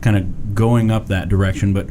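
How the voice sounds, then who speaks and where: A man hosting a podcast interview, relaxed and casual, restarting his questions as he goes. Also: on the low side, brisk, slight background noise. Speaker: podcast host.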